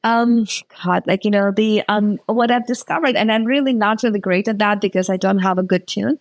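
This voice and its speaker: A woman from the former Soviet Union talks as a podcast guest, her voice carrying a gravelly fry. She speaks in a halting, thoughtful way, full of fillers and restarts. The recording is dry, with little room sound. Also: mid-range voice, steady tone, no room sound. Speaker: venture capitalist guest. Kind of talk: casual interview talk.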